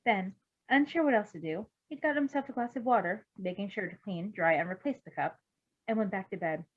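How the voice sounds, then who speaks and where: A woman's voice: medium pitch, a little fast, narrow, tinny audio. A woman reading fan fiction aloud as an amateur narrator, expressively and informally. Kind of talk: expressive story narration with casual asides.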